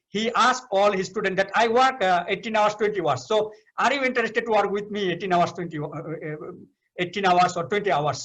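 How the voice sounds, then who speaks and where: A man's voice: sits high, a bit bright, rough recording. A middle-aged Bangladeshi man, a professor giving advice in a webinar, talking with many hesitant fillers and restarts. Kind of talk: advice talk in English.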